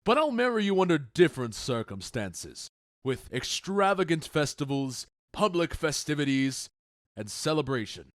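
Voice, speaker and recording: Man playing a stately, higher-voiced commanding duke. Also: big pitch swings, no echo, clean studio sound. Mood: festive, assured. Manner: stately, deliberate, authoritative. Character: commanding, measured Duke of Athens. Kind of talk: modernized Shakespeare audio drama acting.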